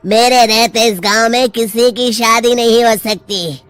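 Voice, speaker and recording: A woman's voice: a little high, steady tone, measured pace, clear, resonant tone, dry recording, lightly acted. A woman voicing a menacing, vengeful witch ghost in a cartoon story, gloating as she threatens. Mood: gloating menace. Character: menacing vengeful female witch ghost haunting village weddings. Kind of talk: Hindi cartoon story voice acting.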